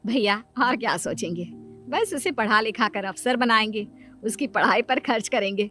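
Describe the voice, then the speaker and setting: A scheming young mother from a cartoon moral tale, acted by a woman. She is coaxing and insistent in a fairly high voice, with music underneath. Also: normal speed, pushed and bright. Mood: friendly. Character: scheming, persuasive young mother and sister-in-law. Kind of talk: cartoon moral story acting.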